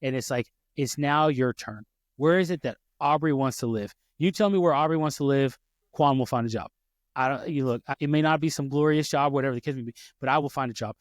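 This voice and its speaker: A man in his thirties hosts a podcast in a casual, conversational way, drifting into personal stories and stopping to correct himself. His voice sits at an ordinary middle pitch. It is recorded close and dry, with no room sound. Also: brisk, no echo. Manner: storytelling, frequent restarts and self-corrections. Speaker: podcast host.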